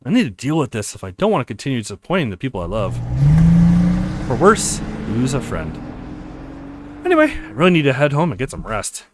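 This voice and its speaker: Guy reading as a earnest college dude from a visual novel, coaxing n confident, really bouncy pitch. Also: huge pitch swings, fairly quick, long pauses, noisy background. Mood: weary, resolved. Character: earnest, reassuring young male college student.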